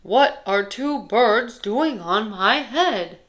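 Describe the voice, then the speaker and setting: Woman voicing an anxious, exasperated storybook elephant, slow and dramatic. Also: a little high, lively intonation, a bit bright, full character voice. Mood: alarmed, puzzled. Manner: dramatic, emphatic delivery. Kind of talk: children's picture book read-aloud.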